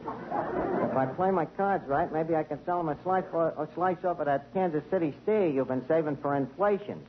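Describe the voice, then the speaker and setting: An actor playing a streetwise tavern manager who talks in slang and fumbles fancy words, in 1940s radio comedy style. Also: male voice, fairly high pitch, normal speed, natural voice. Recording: muffled, very hissy 1940 radio broadcast.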